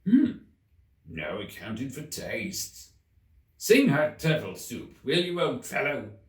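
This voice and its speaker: Man putting on a bossy, impatient gryphon, very animated. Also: medium pitch, slow, voice pushed up. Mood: brusque, offended. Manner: brusque, commanding. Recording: echoey room, distant mic.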